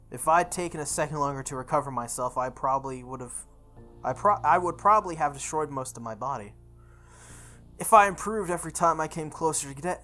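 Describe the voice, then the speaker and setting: A woman's voice: rumbling low, a bit bright. A woman reading visual novel text aloud as a playthrough host, sometimes stumbling and re-reading lines. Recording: music behind the voice.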